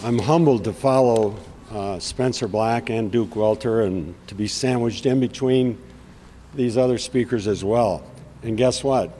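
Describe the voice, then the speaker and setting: Raspy-voiced middle-aged man making a speech. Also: mid-range voice, measured pace, dark tone, big-room sound, a little hiss or hum. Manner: earnest, rhythmic, declamatory delivery. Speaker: outdoor TV host giving a speech. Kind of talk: speech at an outdoor rally.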